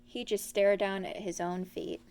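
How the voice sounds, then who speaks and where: A woman reading a children's story aloud as an expressive storyteller. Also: medium pitch, unhurried.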